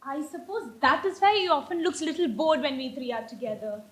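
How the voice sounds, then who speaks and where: A woman's voice: high-pitched, expressive, brisk, a bit bright. A woman playing a romantic, pertly innocent young Victorian ward in a stage comedy, with an ingenuous, theatrical manner. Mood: sulky, pert. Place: live stage play. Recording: echoey stage play recording.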